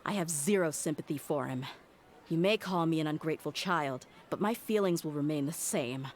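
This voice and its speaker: A woman's voice: low voice, moderate pace, a little hiss or hum, lightly acted. A woman playing a proud, loyal young mercenary bodyguard in a video game, speaking bluntly and earnestly. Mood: resolute.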